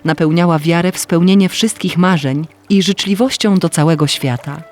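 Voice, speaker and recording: A man narrating a scripted fairy tale as an expressive, literary storyteller. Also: on the high side, moderate pace. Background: faint music.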